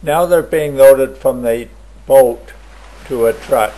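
Male narrator, unhurried and plain, amateur travel video voice-over, mid pitch. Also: mellow, poor audio. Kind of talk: slow scripted travel voice-over.

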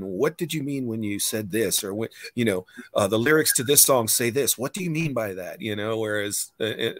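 A man's voice: fairly low pitch, a bit bright, dry recording. A man in his fifties hosting a casual interview over a video call, thinking aloud in long, rambling sentences. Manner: thoughtful, rambling, many fillers and restarts. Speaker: host, musician. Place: home room, indoors. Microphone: webcam on a video call.

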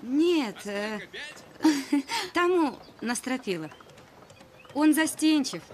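A woman playing a folksy, warm-hearted country gossip in a dubbed film drama, with a chatty, colloquial way of talking. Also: high-pitched, expressive, drawn-out words, slight background noise, heavily acted. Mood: teasing, amused.

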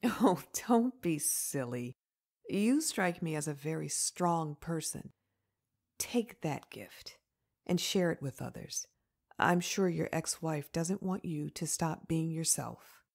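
Woman as kindly widow, comic dub, gentle, reassuring, husky, creaky, unhurried. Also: animated, heavily acted. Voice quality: low, husky.

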